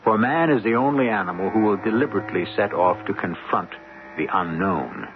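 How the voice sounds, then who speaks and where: A grave, philosophical storyteller hosts a radio mystery. His low voice delivers scripted narration. The old recording is tinny and narrow, with clear hum behind him. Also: lively intonation, fairly quick. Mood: measured, ominous. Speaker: host narrator.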